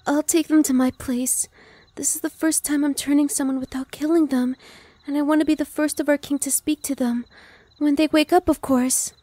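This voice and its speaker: A woman playing a gentle, reassuring young vampire. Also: sits high, fairly even intonation, dry recording, close to an everyday voice. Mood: earnest. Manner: soft, slow and reassuring, dropping to whispers. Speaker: voice actress. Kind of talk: ASMR roleplay.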